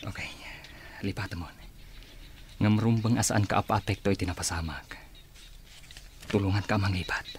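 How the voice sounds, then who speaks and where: Gentle, consoling male admirer played by a man, lowish voice, Ilocano Filipino accent, faint noise, radio drama. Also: fairly even intonation, normal speed, long pauses, close to an everyday voice. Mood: soft, pleading. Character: gentle, consoling man offering comfort and pure love.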